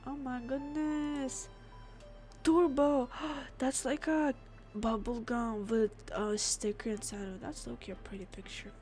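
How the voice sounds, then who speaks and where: A woman doing a let's play, reading game text aloud and adding expressive, informal reactions. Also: fairly high pitch, a little slow. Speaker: gamer, let's player. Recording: clear game music behind the voice. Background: game music.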